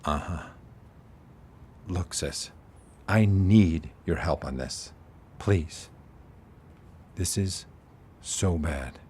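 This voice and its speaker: An actor playing a worried investigator who uses a wheelchair and pleads with his sister in an audio drama, with a rough voice. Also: deep voice, extremely slow, long pauses, darkish tone, a little hiss or hum. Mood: pleading.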